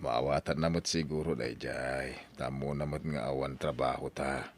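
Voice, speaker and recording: Deep, raspy, brooding father, acted by a man. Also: fairly even intonation, moderate pace. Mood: subdued. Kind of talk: Ilocano radio-style drama acting.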